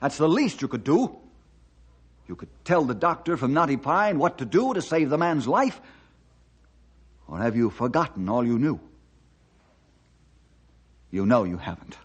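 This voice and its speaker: An actor playing an earnest, forceful ranger in old-time radio drama style, insistent and declamatory. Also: male voice, mid-range voice, very animated, unhurried, long pauses, slightly rough. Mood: impassioned, pleading. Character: earnest forceful male ranger, urging and commanding.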